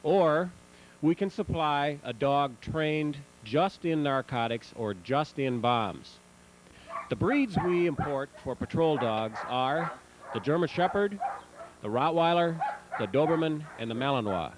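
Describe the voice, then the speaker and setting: Higher-pitched man narrating on noisy old tape. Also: expressive, unhurried. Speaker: narrator of a promotional video. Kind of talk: scripted narration.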